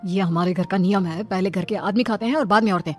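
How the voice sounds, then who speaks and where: A woman's voice: medium pitch, fast, faint music behind, close to an everyday voice. A woman voicing a meek, patient young husband who obeys his elders, in a cartoon moral story. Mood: placating. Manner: placating.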